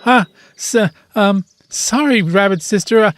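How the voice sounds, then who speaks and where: A woman's voice: mid-range voice, a little slow, music in the background, close to an everyday voice. A woman playing a storybook fox with a showy confidence that hides a timid streak. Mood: scared, stammering. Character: confident fox who turns timid when challenged.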